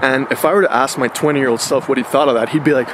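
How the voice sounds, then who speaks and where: A man in his thirties talks his thoughts through in a loose, conversational way, dropping slangy asides as he goes. His voice sits at an ordinary middle pitch. Steady street traffic hums clearly behind him. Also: quick pace. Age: 30s. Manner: relaxed and chatty, with slangy asides. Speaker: vlogger. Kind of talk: casual reflective monologue.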